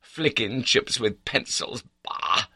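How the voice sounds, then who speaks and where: A man's voice: lowish voice, big pitch swings, a little fast, gravelly, pushed and bright, husky, no echo, pitched above the performer's own voice, full character voice. A man voicing an arrogant, indignant upper-class baronet in an audiobook, with a blustering, sneering and haughty manner. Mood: angry, exasperated. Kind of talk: audiobook character dialogue.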